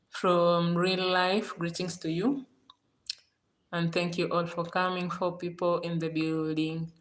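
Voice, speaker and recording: A woman from Kenya with a Kenyan accent, chatting casually on a livestream, warm and gracious toward her viewers and repeating her thanks again and again. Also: lowish voice, roomy sound. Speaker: livestream host.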